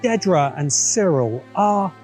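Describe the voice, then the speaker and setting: Male essay narrator, mellow and a touch high-pitched, sardonic and opinionated, music bed underneath. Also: expressive, takes it slowly. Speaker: host of a science fiction commentary show. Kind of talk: scripted video essay narration. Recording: music behind the voice. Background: background music.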